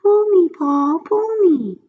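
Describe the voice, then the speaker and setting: Woman as little talking pigtail for a children's tale: very high pitched, whiny, coaxing, swooping delivery. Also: animated, slow pace, phone-quality sound, full character voice. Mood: wheedling. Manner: cajoling, whiny. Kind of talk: children's storybook character voice.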